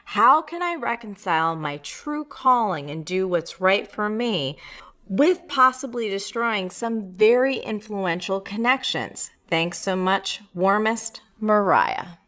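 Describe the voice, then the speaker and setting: A woman's voice: mid-range voice, lively intonation, slow, sharp tone. A woman host reading out a listener's letter and then giving upbeat, emphatic advice with playful asides.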